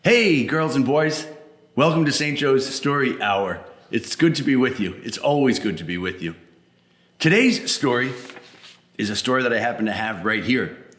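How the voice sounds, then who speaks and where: A man hosting a children's story hour, talking conversationally to young listeners and gently repeating phrases for emphasis. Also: medium pitch, expressive, moderate pace, reverberant. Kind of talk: warm-up introduction addressed to children.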